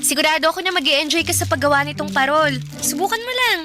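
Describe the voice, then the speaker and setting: A woman's voice: sits high, expressive, quick pace, music in the background. A woman acting as a cheerful, polite young elder sister and daughter in a radio drama, earnest and upbeat. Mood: upbeat, teasing.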